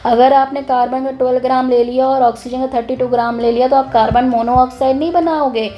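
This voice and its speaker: A young woman, slightly hoarse, explaining a chemistry lesson in a casual, lively way, often restarting her sentences. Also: high-pitched, quick pace, lo-fi sound. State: hoarse, clears her throat. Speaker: chemistry teacher.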